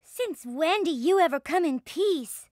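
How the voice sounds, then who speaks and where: A woman playing a perky, naive and excitable teenage magical-girl princess in an animated series. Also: extremely high pitch, unhurried, no echo, studio quality. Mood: earnest.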